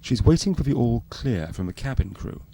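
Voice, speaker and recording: A man's voice: medium pitch, animated, fairly quick, vocal fry, mellow, no room sound. A man reading scripted narration for a spoof fly-on-the-wall documentary.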